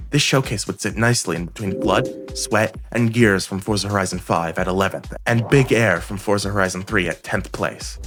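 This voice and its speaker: A man's voice: lowish voice, fairly bright tone. A man delivering scripted review narration as a video game reviewer, with a playful, opinionated manner. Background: music.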